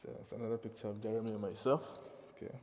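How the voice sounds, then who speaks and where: A man's voice: lowish voice, flat delivery, rapid-fire, a little hiss or hum. A man in his forties with a Ghanaian accent, preaching a sermon in a friendly, conversational, self-deprecating way. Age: 40s. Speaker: pastor. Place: church hall. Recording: dull reverberant church hall.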